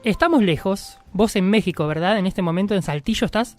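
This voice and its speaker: An Argentine-accented man hosting a radio interview, asking his guest questions in a friendly way. His voice sits at a middle pitch, with faint music under it. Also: brisk, a little mellow. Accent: Rioplatense Argentine Spanish. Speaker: radio host. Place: radio studio in Mar del Plata.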